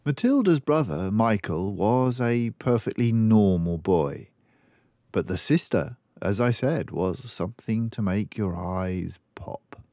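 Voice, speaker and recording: Older man reading a storybook, animated low voice. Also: slow, dry recording. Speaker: storybook reader. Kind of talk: expressive children's book narration.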